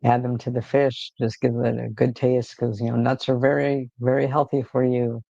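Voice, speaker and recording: A man with a gravelly, creaky voice hosting a relaxed livestream from home. He rambles loosely, with lots of fillers and restarts. The audio is dry and a bit dull and lo-fi. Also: mid-range voice, moderate pace, dry recording. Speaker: livestream host. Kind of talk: casual livestream chat.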